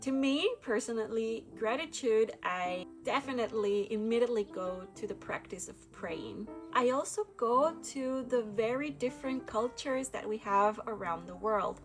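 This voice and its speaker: A woman's voice: fairly high pitch, normal speed, few pauses, faint music behind. A woman from Guatemala, hosting a casual spiritual talk to camera, reflective, with frequent laughs and restarts. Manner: reflective, laughs often, many fillers and restarts. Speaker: spiritual channel host.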